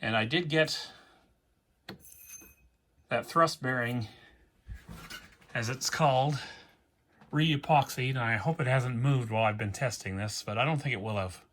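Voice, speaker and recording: A man's voice: medium pitch, a little slow, pauses often, fairly bright tone, slight background noise. Casual man talking through a repair. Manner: informal, thinking aloud. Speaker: repair vlogger and host. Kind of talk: casual workbench repair commentary. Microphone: backup microphone, not the usual audio recorder.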